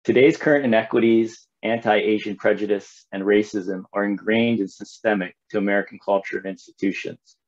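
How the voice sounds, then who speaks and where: Guy w a lowish voice reading prepared remarks, earnest, kinda muffled video call sound. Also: steady tone, fairly quick, hardly any pauses. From southern New Jersey. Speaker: nonprofit CEO, panelist. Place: home room on a video call.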